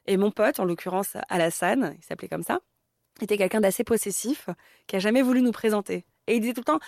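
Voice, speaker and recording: A woman's voice: medium pitch, fast, gravelly, a little mellow, no room sound, clean studio sound. Candid Parisian woman in her thirties. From Paris, France. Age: 30s. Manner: candid, conversational, many fillers. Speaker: interview guest. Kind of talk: candid personal interview.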